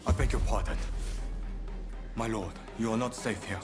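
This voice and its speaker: A man's voice: on the low side, normal speed, over music, close to an everyday voice. A man playing a formal, agitated retainer in a samurai video game. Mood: urgent. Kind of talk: video game cutscene acting.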